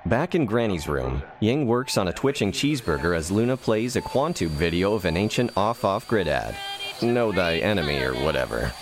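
An actor playing a wry, casual narrator, telling a scripted sci-fi comedy story. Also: male voice, low voice, sing-song, moderate pace.

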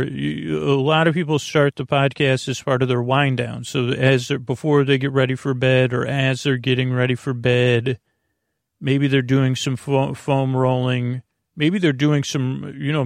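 A man with a heavily creaky, gravelly voice rambles softly as a bedtime podcast host. He is halting and meandering, often restarting or losing his thread, with a gentle, reassuring manner. The sound is close and dry. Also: no echo. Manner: meandering, halting, self-interrupting, trailing off mid-thought; gentle, reassuring, low-pressure.

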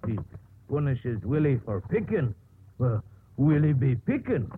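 Simple devoted country man, halting, swooping pitch, noisy vintage radio recording. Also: big pitch swings, phone-quality sound. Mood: halting, aggrieved. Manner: halting, speaks of himself in third person. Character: simple, devoted rustic English handyman. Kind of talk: 1950s radio drama acting.

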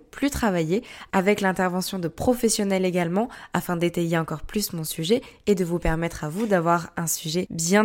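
A woman's voice: fairly low pitch, a little mellow. Young woman hosting a podcast. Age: young adult. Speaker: podcast host. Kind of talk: casual podcast monologue.

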